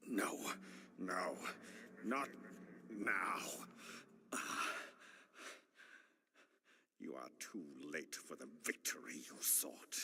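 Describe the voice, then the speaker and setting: A man's voice: deep voice, sing-song, drawn-out words, pauses often, pushed and bright, exaggerated voice. An actor playing a sinister old necromancer possessed by an ancient evil in a video game, ominous and gloating, with a whispering edge to his voice. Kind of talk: dramatic video game acting. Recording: clear background noise and ambience.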